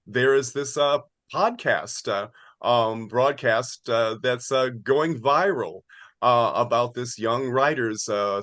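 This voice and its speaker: A man in his sixties speaks casually, as a writer being interviewed. His pitch is average and he rambles haltingly through his explanations. The sound is dry, with very little room. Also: fairly bright tone, no echo.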